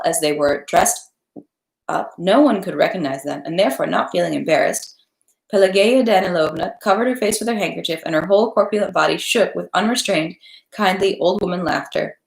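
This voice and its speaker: Woman, lowish creaky voice, informal read-aloud host, expressive storytelling, roomy echoing home recording. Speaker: host reading a novel aloud for online viewers. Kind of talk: casual introduction, then expressive storybook narration.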